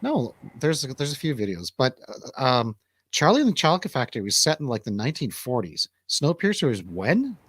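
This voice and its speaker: A man's voice: mid-range voice, sing-song, brisk, fairly bright tone, husky, no echo. A man hosting a casual movie review chat, speaking in a conversational, opinionated way. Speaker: radio show host.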